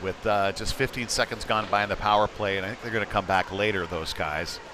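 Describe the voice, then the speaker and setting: An American man giving casual, conversational live hockey play-by-play as a commentator, getting excitable when play heats up. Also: fairly low pitch, a little fast. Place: indoor ice rink. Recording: noisy indoor ice rink.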